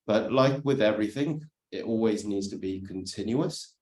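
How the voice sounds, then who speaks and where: British man, conference speaker, explanatory delivery, slightly low voice, roomy sound. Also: normal speed, darkish tone. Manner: explanatory, frequent "let's say" and "for instance". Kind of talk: presentation talk.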